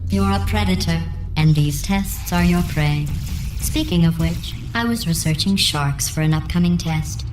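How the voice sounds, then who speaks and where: Low, synthetic, passive-aggressive female AI. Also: a little slow, echoey room, over music. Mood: icy sarcasm. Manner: deadpan, sarcastic, falsely sweet. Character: female artificial intelligence running tests, passive-aggressive, coldly cruel. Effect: synthetic robotic processing. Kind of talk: video game character dialogue.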